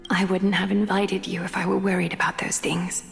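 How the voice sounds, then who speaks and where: A woman voicing a serene, caring noblewoman seamstress and demigod in a video game, speaking in a measured, tender, formal manner. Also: medium pitch, flat delivery, vocal fry, lightly acted. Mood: tender, assured. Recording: long hall reverb over music.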